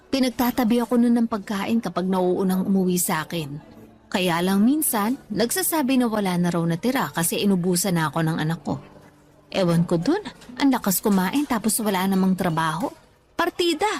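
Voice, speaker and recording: A woman playing a forgiving, self-effacing older mother in a radio drama, meek and gently defensive. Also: medium pitch, lightly acted. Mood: resigned. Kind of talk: Tagalog radio drama acting.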